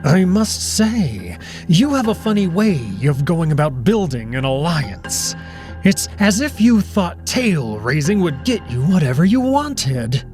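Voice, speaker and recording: A man's voice: high-pitched, sing-song, a little slow, a little mellow, faint music behind, pitched above the performer's own voice. A man voicing an old male wolf aristocrat in a visual novel, with cold, condescending, formally polite menace. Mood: scornful. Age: older. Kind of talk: dramatized visual novel reading.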